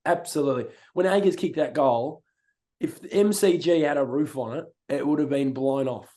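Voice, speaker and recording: A young Australian man in his early twenties, his voice worn hoarse, chats casually as a co-host. His tone is mellow and relaxed, and he rambles excitedly, often breaking into laughter. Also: a little high, normal speed. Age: young adult. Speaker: podcast co-host. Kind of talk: casual chat.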